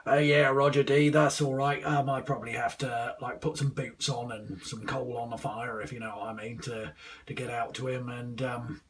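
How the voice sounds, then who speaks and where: A man's voice: medium pitch, fairly even intonation, normal speed, recorded from a distance. A young man chatting casually over CB radio, hesitant, rambling and full of slang. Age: 20s. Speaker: amateur CB radio operator testing a new radio. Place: small indoor room with radio gear.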